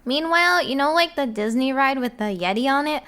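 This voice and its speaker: A woman's voice: high voice, animated, a little fast, pushed and bright. A woman chatting casually as a podcast guest, giggly and self-deprecating. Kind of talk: casual chat.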